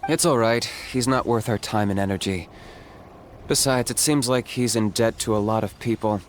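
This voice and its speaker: A man voicing a calm, reserved young hunter. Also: lowish voice, slight background noise, natural voice. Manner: measured, matter-of-fact.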